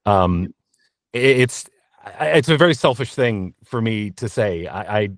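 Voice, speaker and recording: A man answering interview questions candidly as a voice actor, in an earnest, reflective and halting conversational manner. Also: on the low side, expressive, dry recording, studio quality. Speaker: interview guest, voice actor and narrator.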